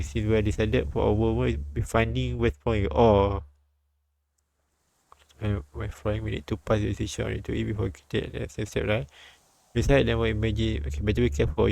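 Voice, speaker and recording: Guy streamer reading a story game out loud, lowish gravelly voice, trips over words. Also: no room sound. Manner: halting, stumbles over words. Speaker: live streamer. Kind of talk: reading a visual novel aloud with casual comments. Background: light game music.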